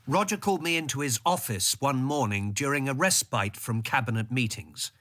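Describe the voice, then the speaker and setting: An actor playing a reflective civil servant, narrating a radio drama in the first person, measured and articulate. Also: male voice, medium pitch, lively intonation, fairly bright tone, lightly acted. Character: reflective male civil servant telling his story.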